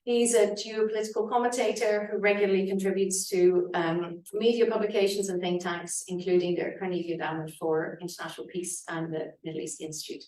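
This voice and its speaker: A woman chairing a conference, introducing the speakers with many pauses and fillers. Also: lowish voice, little pitch movement, a little mellow, distant mic. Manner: halting, many fillers, reads bios. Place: conference hall. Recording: echoey hall from afar.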